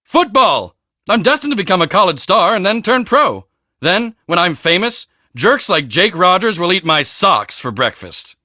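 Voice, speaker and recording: A man voicing a cocky, boastful teenage high school football star in a video game, with a swaggering, emphatic, self-important delivery. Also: very animated, moderate pace, pushed and bright, no room sound, studio quality, exaggerated voice. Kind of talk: video game character dialogue.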